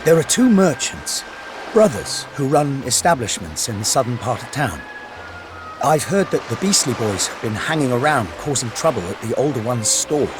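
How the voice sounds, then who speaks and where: A man voicing a glum, worried male blacksmith who speaks slowly and warily, in a video game cutscene. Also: mid-range voice, very animated, close to an everyday voice. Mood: sorrowful. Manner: glum, wary, slow storytelling. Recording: game audio with loud music behind.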